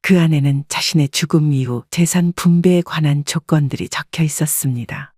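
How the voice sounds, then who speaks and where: Calm, breathy woman narrating a story. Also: low voice, dry recording, clean studio sound. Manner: calm, even, reflective. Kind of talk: scripted first-person story narration.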